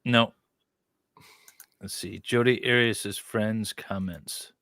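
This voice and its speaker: A man's voice: lowish voice, fairly even intonation, takes it slowly, a bit bright, dry recording. A man in casual chat as host, reading a web page aloud and adding sarcastic, mocking commentary.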